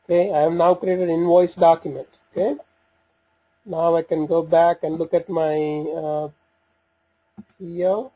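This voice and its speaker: A man giving an unscripted software walkthrough, talking through each step in a halting way as he thinks aloud. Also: on the high side, little pitch movement, husky, rough recording. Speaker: software demo presenter.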